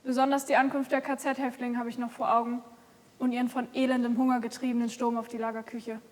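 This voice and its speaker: A young woman voicing a fifteen-year-old Polish boy resistance fighter in a solemn, measured testimony reading. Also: fairly high pitch, little pitch movement, natural voice. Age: young adult. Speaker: youth reader in a memorial collage. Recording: echoey hall recording.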